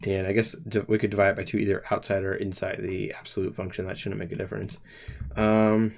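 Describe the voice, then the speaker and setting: A man in his twenties talks through his code on a live stream, working out the logic aloud. His voice sits a little low. He pauses and restarts often as he thinks. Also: level tone, creaky. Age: 20s. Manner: hesitant, many pauses and restarts. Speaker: game developer streamer.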